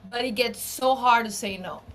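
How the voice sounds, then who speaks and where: A middle-aged woman from Brazil with a Brazilian Portuguese accent, speaking English as a second language, chatting relaxed as a musician guest in an interview, with frequent restarts. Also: fairly high pitch, normal speed, a little hiss or hum, low-quality recording. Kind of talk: casual interview chat. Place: home studio room.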